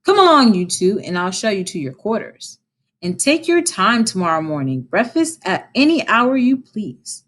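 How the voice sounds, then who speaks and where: A woman's voice: mid-range voice, very animated, normal speed, fairly bright tone. Woman voicing a commanding, kindly old-fashioned badger. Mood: kindly, hospitable. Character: gruff, kindly, authoritative male badger, master of the house.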